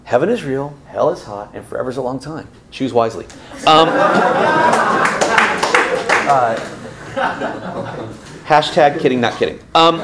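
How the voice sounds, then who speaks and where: Lively joking campus preacher man. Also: fairly high pitch, background noise. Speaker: campus ministry speaker. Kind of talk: sermon to students.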